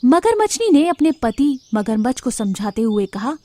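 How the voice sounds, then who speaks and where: A woman's voice: fairly high pitch, sing-song, normal speed, warm tone. A woman storyteller reading a scripted animal tale for children.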